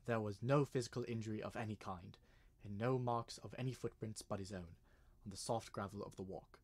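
A man playing a grave gentleman in a radio drama, measured and solemn. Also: on the low side, steady tone. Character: grave gentleman recounting a family legend to a detective.

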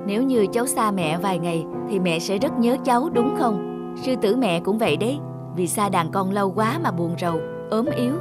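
Kind zookeeper guy, calm, explains stuff gently, cartoon w music behind. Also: high-pitched, a little fast. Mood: sympathetic. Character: kindly grown man, sympathetic zookeeper. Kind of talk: dubbed children's cartoon dialogue.

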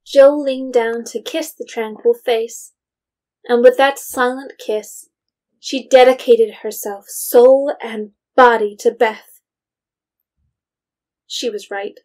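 An actress as a composed storyteller, reading scripted literary narration for an audio drama. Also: female voice, a little high, steady tone, slow, long pauses, darkish tone.

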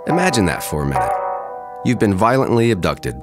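Low dude narrating dramatically, steady and deliberate, loud guitar behind. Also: male voice.

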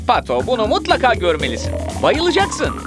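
Preschool cartoon narrator, male, friendly, excitable, hugely varied pitch, addressing young viewers, music underneath. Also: brisk. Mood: excited, encouraging. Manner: expressive, exclamatory, talking directly to children and characters.

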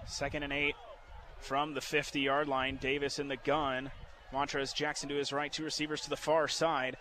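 Excitable guy doing football radio play by play, high voice, noisy outdoor stadium broadcast. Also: moderate pace. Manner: excitable, builds from steady calls to shouts. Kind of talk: live sports radio play-by-play commentary.

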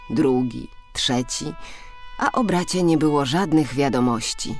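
A woman narrating a children's fairy tale in an expressive, dramatic storytelling manner. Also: sits low. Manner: animated, dramatic storytelling delivery. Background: background music.

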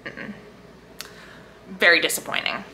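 A woman book vlogger chatting casually and enthusiastically to camera, leaning hard on emphatic, drawn-out words. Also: bright, forceful tone, a little hiss or hum, poor audio. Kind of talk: casual chat to camera about books.

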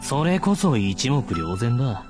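A man's voice: medium pitch, big pitch swings, unhurried, music in the background. An actor playing a casual, questioning, cheeky young man in a visual novel game. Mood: mocking.

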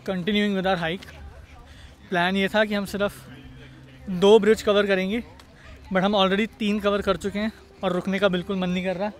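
A man's voice: high voice, a bit bright. A man, chatting casually as a travel vlogger while walking a forest trail and filming himself on a handheld phone. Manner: chatty, explains as he walks. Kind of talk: casual travel vlog narration. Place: outdoors on a forest canyon trail. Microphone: handheld phone at arm's length.

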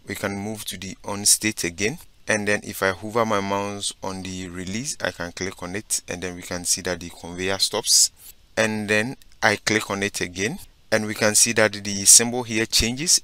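A man giving a step-by-step software tutorial, narrating his screen and often saying "okay" and "so basically". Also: on the low side, no room sound. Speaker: instructor.

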